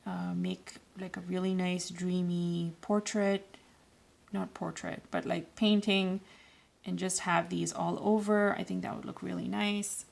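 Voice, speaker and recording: A woman with a raspy voice today, giving loose, rambling, self-correcting instructions in a casual watercolour tutorial. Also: lowish voice, flat delivery, measured pace. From Canada. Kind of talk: casual painting tutorial voice-over.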